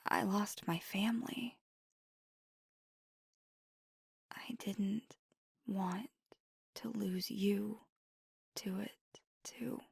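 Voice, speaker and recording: A woman voicing a gentle, remorseful vampire girlfriend in an intimate ASMR roleplay, speaking in a halting, breathy whisper close to the microphone. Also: mid-range voice, steady tone, no room sound, exaggerated voice. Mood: wistful, vulnerable. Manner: halting, hushed, mostly whispered confession. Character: gentle, remorseful female vampire girlfriend, anxious, tender confessor. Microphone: close, dry microphone.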